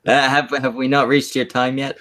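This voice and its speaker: A man from Canada in a crude casual chat, deadpan and halting, mocking himself. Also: medium pitch.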